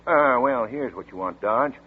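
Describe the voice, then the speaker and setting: An actor in a 1940s radio drama playing an efficient young sheriff who briefs in a brisk, matter-of-fact way, with a husky voice. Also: male voice, medium pitch, expressive. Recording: tinny old radio broadcast.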